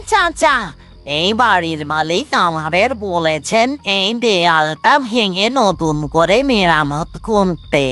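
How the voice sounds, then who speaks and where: Woman as sly male thief, cartoon moral tale, wheedling, exaggerated, very animated pitch, light music underneath. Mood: nervous excuses. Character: sly male thief posing as a humble mason. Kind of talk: dramatic animated moral story.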